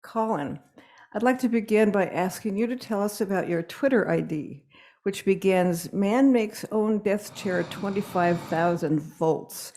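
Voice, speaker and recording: A woman moderating an author panel, putting questions to the guests in a measured way. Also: medium pitch, a little mellow.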